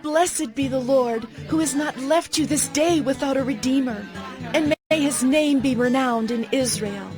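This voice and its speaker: Casting: joyful ancient village woman, high pitch, declamatory blessing, clear music underneath. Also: a little slow. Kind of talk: dramatized scripture reading.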